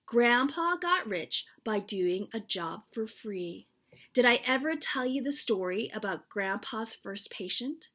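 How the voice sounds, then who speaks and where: Woman reading as a gently coaxing storybook mom. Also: a little high, animated, measured pace. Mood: confiding. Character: mother, gently coaxing storyteller in a children's book.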